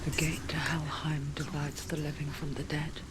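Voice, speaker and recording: A woman voicing an eerie, knowing inner-voice narrator in a video game, whispering in a slow, intimate, breathy way. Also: low-pitched, little pitch movement, background noise, natural voice. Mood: hushed dread. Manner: slow, hushed, confiding.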